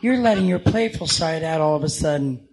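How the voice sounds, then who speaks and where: A man voicing a flirty, worldly female prostitute in a comic-book radio drama, with a creaky, teasing drawl. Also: animated, brisk, darkish tone. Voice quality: creaky, high.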